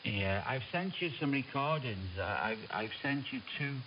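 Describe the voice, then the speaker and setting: Male ham operator, mid-pitched, informal and repetitive, heard through a dull, hissing shortwave signal. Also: animated, normal speed. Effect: shortwave radio. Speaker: amateur radio operator. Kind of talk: casual ham radio conversation. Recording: noisy, hissy, dull shortwave radio signal.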